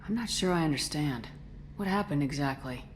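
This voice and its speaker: A steady, tough military commander in a video game. Her voice is strikingly low, direct and controlled, with a probing edge. Faint ambience sits behind it. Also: brisk, a little hiss or hum. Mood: calm, probing. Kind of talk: video game dialogue acting.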